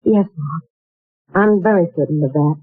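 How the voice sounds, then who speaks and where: A woman's voice: deep voice, moderate pace, husky, no echo. An actress voicing a young woman uneasy about a strange job, in 1940s radio acting, talking in a hesitant, wondering way. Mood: earnest. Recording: tinny old radio broadcast with faint music.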